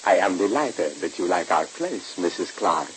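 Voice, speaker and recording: An actor playing a shady, formal sanitarium operator for hire in an old radio drama, speaking precisely with calculated pauses. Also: male voice, sits low, animated, low-quality recording. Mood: oily, courteous. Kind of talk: 1940s radio drama acting. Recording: hissy old-time radio recording.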